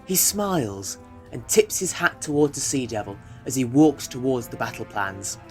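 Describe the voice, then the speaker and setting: A man narrates an audio drama from a script. His voice sits at a medium pitch, with music playing behind it. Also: sing-song, moderate pace.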